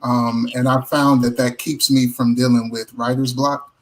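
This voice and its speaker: Man, medium pitch, measured halting delivery, casual panel chat, songwriter guest sharing practical tips. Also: little pitch movement, low-quality recording.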